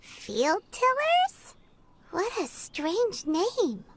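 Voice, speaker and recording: A woman's voice: very high-pitched, wildly swooping pitch, very slow, very noisy, full character voice. A woman voicing a tiny, chatty, curious fairy companion in a video game, who talks about herself in the third person. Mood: puzzled.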